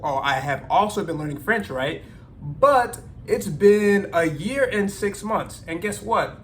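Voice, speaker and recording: A man's voice: fairly high pitch, fairly bright tone, slight background noise. A man hosting a language-learning vlog, talking candidly and thoughtfully straight to his viewers in a casual monologue. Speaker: language-learning video host.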